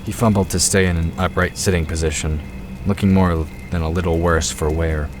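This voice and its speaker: A very low-voiced man narrates a fantasy audio drama. He reads scripted, descriptive story prose, with music clearly playing behind him. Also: steady tone, brisk.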